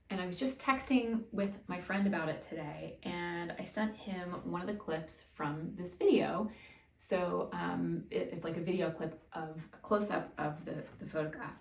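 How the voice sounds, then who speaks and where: A woman's voice: lowish voice, creaky, a little hiss or hum. A woman raised in Japan talks casually as a photographer in her studio, musing aloud in an informal, chatty vlog style. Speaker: photographer presenting a studio video. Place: indoor studio. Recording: roomy, distant mic, music behind.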